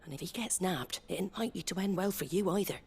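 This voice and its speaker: A wary, low-voiced landlady. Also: lively intonation, fast talker, gravelly, darkish tone, slightly rough, heavily acted. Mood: wary, warning. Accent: British. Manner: guarded, streetwise, plain-spoken. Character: wary, blunt middle-aged female tavern landlady. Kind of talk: dramatic video game acting.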